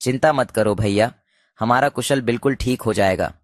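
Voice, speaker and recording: A man's voice: mid-range voice, normal speed, no echo, studio quality, natural voice. A man voicing a headstrong younger brother. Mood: warm. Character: younger brother, stubborn then loving uncle. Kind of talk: cartoon voice acting.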